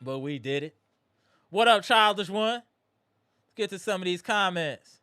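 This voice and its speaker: A man hosting a live postgame basketball reaction stream, animated and greeting viewers in the chat, his voice strained from yelling. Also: high voice, unhurried, clean tone, no echo, clean studio sound. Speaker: livestream host.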